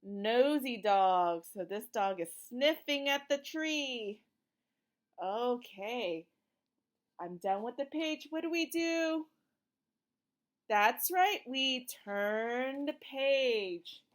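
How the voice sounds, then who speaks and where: A woman's voice: on the high side, animated, very slow. A woman doing an interactive read-aloud for young children like a teacher, asking simple questions, giving encouraging prompts and reading the words with emphasis.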